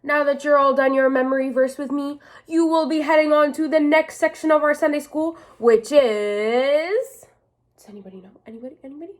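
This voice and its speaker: A woman leading children in a memory verse, encouraging and animated. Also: high voice, brisk. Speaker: Sunday school teacher.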